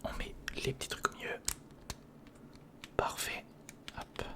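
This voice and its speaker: A man whispering breathily in a casual ASMR commentary, murmuring step by step to the viewer as if to a friend. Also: a little slow. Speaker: ASMR video creator, host. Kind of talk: ASMR, casual running commentary while building a model. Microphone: close recorder microphone.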